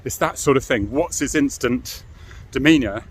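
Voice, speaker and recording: A man's voice: mid-range voice, moderate pace, husky. A middle-aged British man giving an informal, explanatory monologue as a former police officer, frank and matter-of-fact, often adding tag questions.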